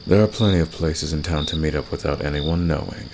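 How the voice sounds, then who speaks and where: A man playing a confident, flirtatious and secretly protective fantasy villain in an ASMR romance roleplay, gravelly and intimate, with a teasing, smug manner. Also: fairly quick. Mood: smug, seductive. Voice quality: very deep, gravelly. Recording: clear background noise, night cricket ambience.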